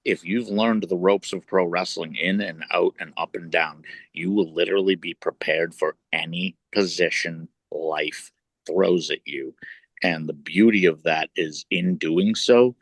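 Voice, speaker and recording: A man's voice: low voice, a little slow, no echo. A middle-aged man, a wrestler and podcast co-host, talking at length in casual chat, swearing and building long points.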